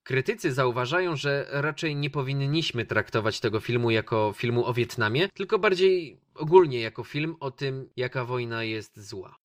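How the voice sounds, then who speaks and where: A man's voice: mid-range voice, normal speed, few pauses, no room sound. Man presenting a film review, dry sound. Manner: conversational, addresses listeners directly. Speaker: radio host reviewing films. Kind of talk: film review commentary for a radio show.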